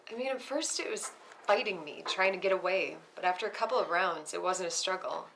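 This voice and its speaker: A woman's voice: fairly low pitch, a little fast, gravelly, fairly bright tone, rough recording. A woman playing a brash, foul-mouthed, thrill-seeking friend in a screenplay table read, with casual, sassy banter. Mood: reflective. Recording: faint background noise.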